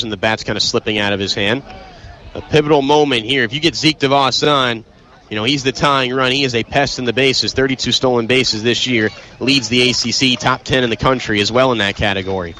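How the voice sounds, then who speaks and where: A young American man calling live baseball play-by-play, emphatic and excitable on big plays. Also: mid-range voice, slight background noise. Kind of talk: live sports commentary.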